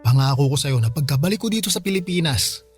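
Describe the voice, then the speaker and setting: Guy acting kind reassuring uncle, filipino radio drama, kinda high male voice, faint music behind. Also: lively intonation, fast, lightly acted. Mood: gently regretful. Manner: gentle, reassuring. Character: gentle, reassuring uncle leaving to work abroad.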